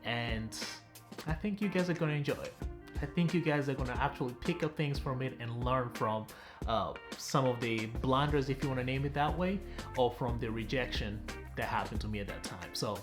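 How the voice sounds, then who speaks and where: A man's voice: mid-range voice, normal speed, music in the background. A young man from Tanzania, a relationship coach, telling viewers a personal story in a direct, chatty vlog style.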